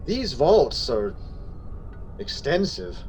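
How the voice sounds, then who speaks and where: An actor playing a boastful, tipsy, scornful nobleman in a theatrical gothic reading, with exaggerated drawn-out delivery. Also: medium pitch, wildly swooping pitch, darkish tone, slight background noise, full character voice.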